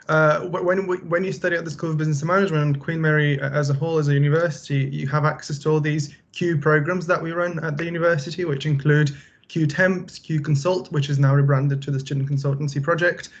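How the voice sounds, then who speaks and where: A young man from Bulgaria, a final-year student, giving a relaxed, conversational talk with many fillers. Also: a little high, highs cut off. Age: young adult. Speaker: student presenter. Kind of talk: casual presentation.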